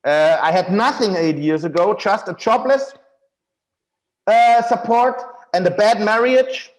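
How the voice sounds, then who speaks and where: A man's voice: sits high, unhurried, rough recording. A man with a German accent, a guest author on a podcast, telling his story earnestly and with enthusiasm. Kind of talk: podcast interview.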